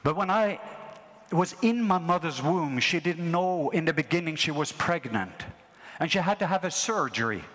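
A Norwegian man in his fifties preaches with a high voice, throwing out repeated call-and-response phrases to the crowd. His sermon rings out through a large hall with a long, lingering reverb. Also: lively intonation, normal speed, fairly bright tone. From Norway. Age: 50s. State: has a mild ear infection.

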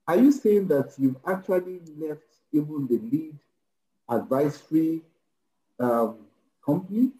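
A man's voice: a little high, lively intonation, a little slow, warm tone. A man asking questions conversationally as an interviewer, recorded with a roomy echo. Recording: roomy, echoing sound.

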